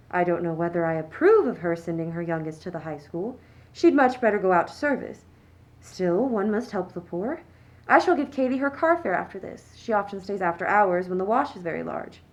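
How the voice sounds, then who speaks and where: A woman's voice: fairly low pitch, natural voice. Woman playing a haughty, proper society matron. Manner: haughty, genteel, condescending. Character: snobbish, proper society matron and conservative mother. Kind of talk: stage-style radio play acting.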